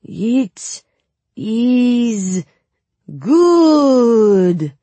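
A woman's voice: fairly high pitch, animated, very slow, warm tone, no room sound, pitched above the performer's own voice, heavily acted. A woman doing a young man in an outrageous fake accent. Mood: mock-mystical. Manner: hesitant, mock-mysterious. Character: young man faking a silly fortune-teller accent.

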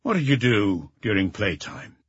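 A man voicing a calm, encouraging police inspector who patiently puts short questions to a child in an audiobook. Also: lowish voice, normal speed, breathy edge, dry recording, muffled recording, voice pushed down. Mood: calm, probing.

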